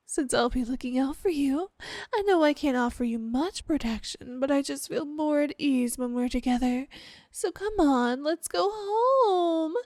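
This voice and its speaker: A woman playing a sweet, possessive, obsessed wife with quiet menace, cooing and coaxing in a roleplay ASMR style. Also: high-pitched, dry recording, studio quality. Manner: cooing, saccharine, coaxing, drawn-out delivery.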